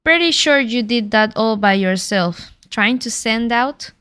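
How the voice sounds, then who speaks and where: A man's voice: extremely high pitch, expressive, measured pace, bright, forceful tone, clear, resonant tone, heavily acted. A woman reading the lines of a wry, teasing young man in a spy novel, in dry banter.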